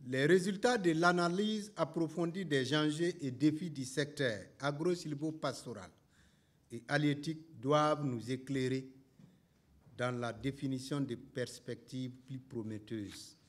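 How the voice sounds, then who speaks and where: A man's voice: a little high, measured pace. A man reading a formal speech as an official.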